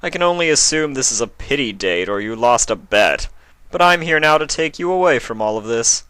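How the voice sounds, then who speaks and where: Man playing a pompous, forceful unicorn prince villain. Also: mid-range voice, lively intonation, a little fast, clean tone, dry recording, voice pushed up. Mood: sneering. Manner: pompous, sneering. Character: arrogant, haughty male unicorn prince villain.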